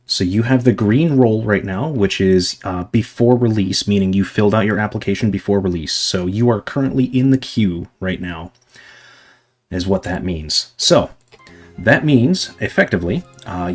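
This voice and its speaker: A young man chatting casually to his viewers on a livestream, rambling with lots of fillers and a voice going slightly hoarse from talking a long time. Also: on the low side. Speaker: livestreamer answering chat.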